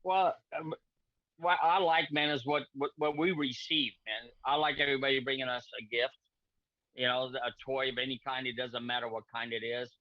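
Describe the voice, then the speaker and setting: A middle-aged man from Portugal, a repair shop owner and guest on a video call, speaking in halting, repetitive friendly sentences. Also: mid-range voice, little pitch movement, no echo. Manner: halting, repeats words, friendly. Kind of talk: casual video-call chat. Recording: dull lo-fi video-call audio.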